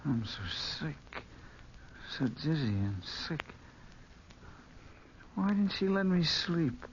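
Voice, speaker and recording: A man playing an anxious, drugged American in a 1940s radio drama, halting and faltering. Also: on the high side, very animated, exaggerated voice. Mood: weak, dazed. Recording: muffled, noisy 1940s radio recording.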